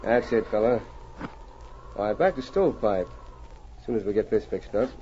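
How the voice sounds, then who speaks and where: An actor playing a firm, calm and authoritative Mountie sergeant in a 1940s radio drama. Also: male voice, fairly low pitch, moderate pace, lightly acted. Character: commanding male Mountie sergeant, calm, firm lawman hero. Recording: noisy, muffled old radio recording.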